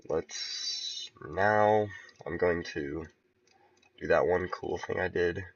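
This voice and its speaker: A man casually talking through his level building on a stream, relaxed and thinking aloud with soft chuckles. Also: low voice, slow pace, creaky, dry recording, dull audio. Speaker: game level creator streaming. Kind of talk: casual commentary while building.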